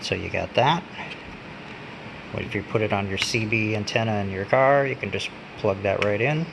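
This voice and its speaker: A man giving a casual, unscripted hands-on gadget demonstration, halting and pausing as he handles things. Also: lowish voice, creaky. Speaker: product reviewer. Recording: steady background hum and noise.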